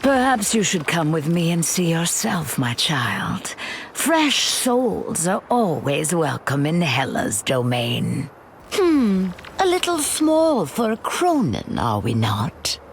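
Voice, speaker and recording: A woman's voice: lowish voice, big pitch swings, slow pace, vocal fry, slight background noise, exaggerated voice. A woman playing an imperious, silky goddess of death in a video game, regal, menacing and condescending.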